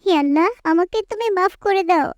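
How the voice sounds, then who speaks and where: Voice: very high voice, brisk, no echo, lo-fi sound. A performer voicing a poor little sister in a moral cartoon, sweet, sensitive and earnest in a childlike way. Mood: remorseful. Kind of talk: Islamic moral cartoon dialogue.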